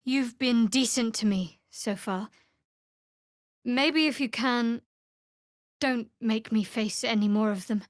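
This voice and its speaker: A woman's voice: fairly high pitch, takes it slowly, pauses often, no echo, studio quality. A guarded, wry young female cleric. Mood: somber, confiding. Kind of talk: video game voice acting.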